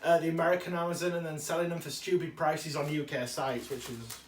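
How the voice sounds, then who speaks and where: A man with a cold talks on in a chatty, eager way, often correcting himself as he goes. His voice sits fairly high. He is recorded from a distance in a room that echoes. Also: fairly even intonation, brisk, slight background noise. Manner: chatty, enthusiastic, self-correcting asides. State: has a cold. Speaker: toy collector vlogger. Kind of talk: casual unboxing chat. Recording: roomy, distant mic.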